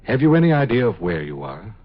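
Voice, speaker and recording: An actor playing a calm, detached scientist of the far future in a 1950s radio drama, speaking in a measured, clinical way. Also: male voice, on the low side. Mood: calm, probing. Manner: measured, matter-of-fact, clinical. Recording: muffled 1950s radio recording.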